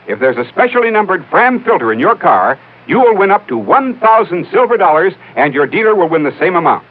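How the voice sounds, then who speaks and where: A man's voice: high voice, very animated, fairly quick. An American man reading a 1950s radio commercial as an announcer, in a folksy, emphatic sales style. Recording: tinny narrow-band old radio recording.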